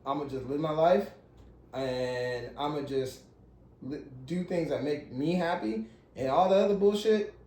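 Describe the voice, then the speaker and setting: Dude in his 30s, podcast chat, voice a bit high, repeats himself, earnest, echoey room. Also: a little slow, mellow. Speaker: podcast co-host. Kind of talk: casual chat.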